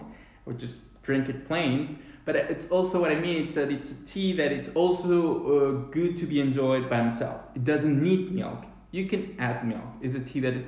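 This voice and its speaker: A man, hosting a live-streamed tea tasting chat in an animated way with many restarts, recorded indoors at a table on a webcam. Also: on the high side. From Chile. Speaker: tea company host. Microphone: webcam or phone at table distance. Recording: very echoey room.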